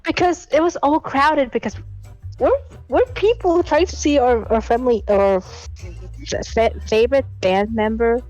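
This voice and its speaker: A woman's voice: on the high side, measured pace, darkish tone, dull audio, soft background music. A woman in her late teens calling in to tell an embarrassing story, giggling and rambling with many restarts. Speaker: caller. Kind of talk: casual confession story.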